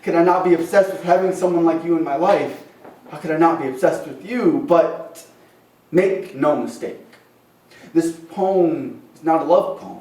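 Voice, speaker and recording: A man with a fairly high voice recites slam poetry with fervent, rhythmic phrasing. He leans on dramatic pauses and rhyme. The sound is echoey, picked up some way from the microphone in a live venue. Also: a little mellow, far from the mic. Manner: impassioned, dramatic pauses, rhythmic rhyming delivery. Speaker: slam poet. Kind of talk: performed slam poetry at an open mic. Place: open mic venue with a small responsive audience.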